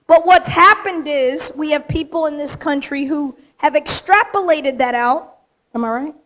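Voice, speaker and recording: A woman with a high, slightly raspy voice delivers an acceptance speech to a full room. She talks straight and with emphasis, landing her points in short, punchy phrases. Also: unhurried, fairly bright tone. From United States. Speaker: award recipient giving an acceptance speech. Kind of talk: acceptance speech to a live audience. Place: large event room with audience.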